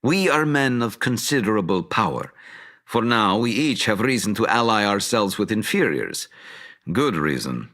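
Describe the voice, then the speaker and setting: Pompous, sharp-voiced wizard. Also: male voice, lowish voice, sing-song, moderate pace. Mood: imperious scheming. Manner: pompous, imperious. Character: arrogant, scheming, pompous wizard man. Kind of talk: theatrical video game voice acting.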